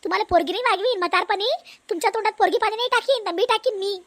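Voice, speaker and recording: A woman's voice: very high voice, rapid-fire. A woman playing an aggrieved, outspoken young village daughter-in-law in a comedy cartoon, complaining in a Vidarbha Marathi accent. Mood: distressed, indignant. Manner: complaining, repetitive.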